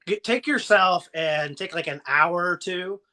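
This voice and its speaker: A man's voice: high voice, unhurried, sharp tone. A man with a southern Ohio American accent, hosting a casual live chat and giving rambling, folksy encouragement. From Ohio, USA. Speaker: motivational live-stream host.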